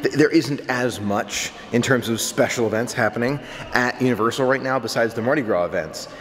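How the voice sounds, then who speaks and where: A man's voice: medium pitch, a little fast, fairly bright tone. A man in his thirties giving an enthusiastic walk-and-talk tour for a vlog, repeating words for emphasis, recorded on a camera held at arm's length. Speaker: vlogger. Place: resort lobbies and covered walkways at night. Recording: clearly noisy handheld on-location recording.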